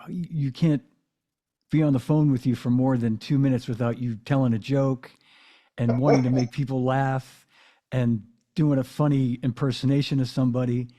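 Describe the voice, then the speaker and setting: A man hosting a casual interview, speaking in halting phrases with many restarts and affirmations. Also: mid-range voice, dark tone. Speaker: podcast host and drummer.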